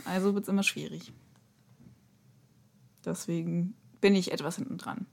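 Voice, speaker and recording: A woman's voice: lowish voice, steady tone, moderate pace, gravelly, darkish tone. A woman chatting casually as a podcast guest, blunt and argumentative in the way she talks.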